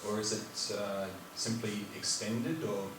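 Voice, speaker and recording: Low-voiced man asking in an echoey hall. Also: steady tone, takes it slowly. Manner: questioning, with fillers. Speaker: audience member asking a question. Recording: echoey hall, distant mic, background noise.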